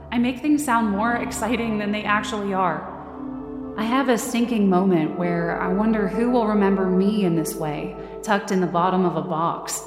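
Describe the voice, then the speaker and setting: A woman performing a frightened, determined young woman who narrates her own survival story in intense, emotive, deliberate short phrases. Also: mid-range voice, close to an everyday voice. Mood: subdued, wistful. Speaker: writer and performer of a fiction podcast. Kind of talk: dramatic first-person scripted narration.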